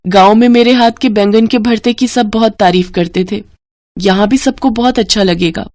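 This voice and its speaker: A woman's voice: mid-range voice, fairly even intonation, normal speed, darkish tone, no echo, natural voice. Woman as humble village mother for a moral story: courteous, pleading, dry close sound. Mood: grateful. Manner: polite, pleading, deferential. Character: humble, hardworking poor village wife and mother.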